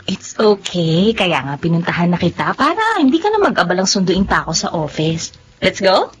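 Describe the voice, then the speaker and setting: A woman's voice: fairly low pitch, huge pitch swings, normal speed, a little hiss or hum. A woman with a Filipino accent playing an affectionate but often let-down girlfriend in a radio drama, slipping between languages. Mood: coaxing. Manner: mixes English into Tagalog.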